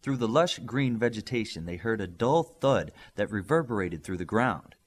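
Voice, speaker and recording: Animated man reading an audiobook. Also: on the low side, normal speed. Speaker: audiobook reader. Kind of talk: scripted audiobook narration.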